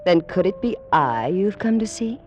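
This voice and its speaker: A woman's voice: on the low side, sing-song, normal speed, a little mellow, highs cut off, soft background music. A woman acting a passionate young noblewoman of ancient Egypt in an old-time radio romance, with formal, theatrical delivery. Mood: coy, teasing.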